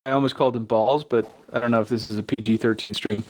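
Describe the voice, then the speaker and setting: A man's voice: medium pitch, fairly even intonation, darkish tone. Playful man from Denmark on stream. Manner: playful, teasing, slow when describing things. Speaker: stream co-host. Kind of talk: casual gaming chat.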